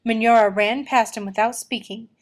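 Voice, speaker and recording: A woman reading a children's story aloud in an expressive storytelling style. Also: fairly high pitch, normal speed. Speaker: storybook reader.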